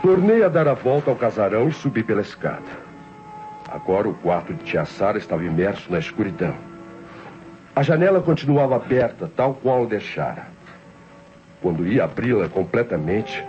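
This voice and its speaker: An actor playing a tense, brooding nephew confessing a planned murder in a suspenseful old Brazilian radio mystery, speaking formally and deliberately. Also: male voice, on the low side, big pitch swings. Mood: tense, stealthy. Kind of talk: 1960s radio mystery drama narration. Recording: dull old radio recording, loud music behind.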